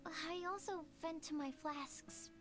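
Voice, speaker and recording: A woman voicing a shy, earnest and quirky young female alchemist in a video game, with a soft girlish voice. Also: high voice, slow, over loud music. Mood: shyly proud. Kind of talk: animated video game voice acting.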